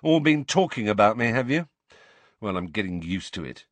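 A man's voice: lowish voice, very animated, normal speed, dry recording. A man voicing a moody, prickly, defensive teenage boy in an audiobook, with a stiff, snappish manner. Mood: angry, nettled.

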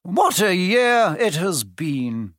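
A man's voice: sits high, very animated, slow, fairly bright tone, no room sound, voice pushed up, exaggerated voice. A man puts on an old wizard headmaster from a storybook. He is cheerful and whimsical, addressing others grandly in a raised voice. The sound is very dry.

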